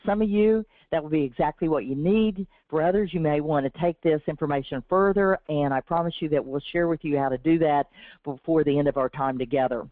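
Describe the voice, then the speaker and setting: A woman with a sore, slightly raspy throat presents a webinar from a prepared script in an earnest, encouraging way. Her voice comes through a thin, phone-like line with no room sound around it. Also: low voice, brisk, no room sound. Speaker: webinar host from a business development team. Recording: tinny, dry phone-line audio.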